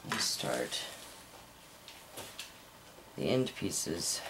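A middle-aged woman chatting casually through a craft demonstration, low-key and half muttering to herself, recorded in a noisy room at home. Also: big pitch swings, extremely slow, a bit bright. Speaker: soap maker and host. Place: indoors at a home work table. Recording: noisy room, household background noise.

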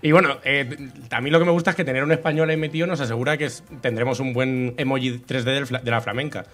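A man, co-hosting a comedy podcast with sarcastic, crude jokes, on a small bar stage at a boom mic. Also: fairly even intonation, fast, fairly bright tone, soft background music. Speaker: co-host. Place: small dark bar stage with live audience. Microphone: desk mic on boom arm.

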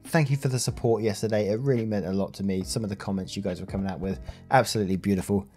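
Low-voiced man reading stories. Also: racing, faint music behind. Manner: plain, steady reading with brief laughs and chatty sign-offs. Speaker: Reddit story narrator. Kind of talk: reading posts aloud with casual asides.

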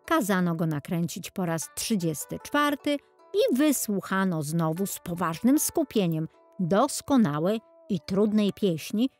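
A woman reading a children's storybook as a scripted, expressive and deliberate audiobook narration. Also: lowish voice.

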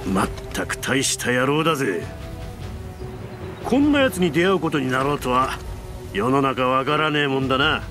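An actor playing a burly, brash, friendly warrior in a video game, with rough, boisterous colloquial speech. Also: male voice, mid-range voice, sing-song, normal speed, music in the background, lightly acted. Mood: hearty, brash. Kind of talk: video game cutscene voice acting.

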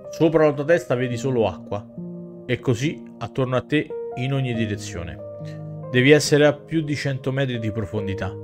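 Male game streamer, thirties, theatrical narrative reading, mid pitch, clear musical backing. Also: moderate pace, over music. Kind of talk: dramatic reading aloud of a visual novel with asides to chat.

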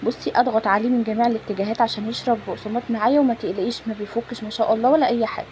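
A woman's voice: fairly high pitch, fairly even intonation, very fast, a little mellow, low-quality recording. A woman with an Egyptian accent casually narrating a home cooking tutorial, chatting informally and directly to her viewers. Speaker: home cook presenting a recipe. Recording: phone recording with clear background noise.

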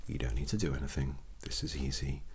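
A young British man speaking in a gentle, breathy near-whisper, reassuring and unhurried, as a doctor in an ASMR roleplay. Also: extremely low pitch, a little hiss or hum. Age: young adult. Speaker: ASMR performer playing a doctor. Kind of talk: soft-spoken ASMR medical roleplay.

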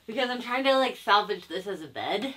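A young woman vlogging casually. She thinks out loud and fills her talk with "like". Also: mid-range voice, expressive, brisk. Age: young adult. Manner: chatty, thinks aloud with many likes. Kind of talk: casual chat. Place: small wooden boat cabin, indoors. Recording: music playing under the voice.

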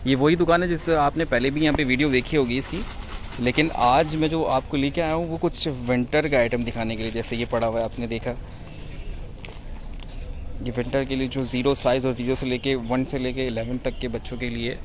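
A man's voice: mid-range voice, steady tone, brisk, a little hiss or hum. A man, a vlog host who walks through a market talking directly to viewers and questions a shopkeeper. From Pakistan. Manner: friendly, addresses viewers directly. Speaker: vlogger host. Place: busy market outdoors and in a shop.

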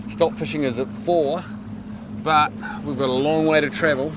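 A man's voice: a little high, fairly even intonation, moderate pace, background noise. A man hosting a fishing show from a boat at sea, chatty and jokey, playfully dramatic, recorded on a lapel mic. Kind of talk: casual on-camera narration. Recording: music bed underneath.

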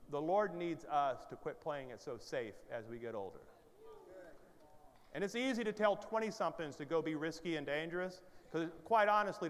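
A man in his fifties preaching a sermon on a church stage with a headset mic, moving from conversational storytelling to urgent exhortation as he paces and gestures. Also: fairly high pitch. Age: 50s. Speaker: pastor. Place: large church stage with hall reverb. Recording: hall reverb from large sanctuary.

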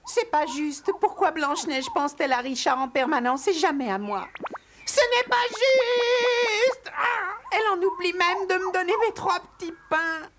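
A woman's voice: very high-pitched, expressive, unhurried, background noise, exaggerated voice. An actress playing a jealous, sulky little boy dwarf in a cartoon, petulant and whiny. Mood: hurt, jealous. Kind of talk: animated cartoon dubbing.

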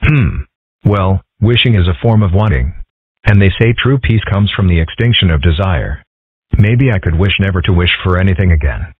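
A man voicing a calm, philosophical young boy fox in a measured, analytical manner for a cartoon comic dub. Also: deep voice, husky, dry recording. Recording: thin, band-limited, phone-like sound.

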